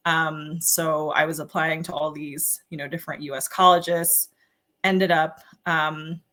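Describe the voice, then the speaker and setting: Chatty woman guest, grew up in the philippines, bright forceful voice, lots of ums and you knows. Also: sits low, flat delivery, unhurried. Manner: chatty, many fillers like um and you know. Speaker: journalist and guest. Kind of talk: casual interview talk.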